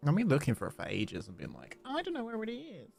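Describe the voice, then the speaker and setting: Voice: mid-range voice, huge pitch swings, quick pace, creaky, a little mellow. A live streamer giving casual, exclamatory commentary while playing a game. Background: game music.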